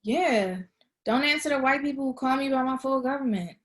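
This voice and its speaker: A high female voice for a wry, defensive young woman acted by a woman at a table read. Her delivery is casual and sarcastic, with an awkward edge. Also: brisk, fairly bright tone.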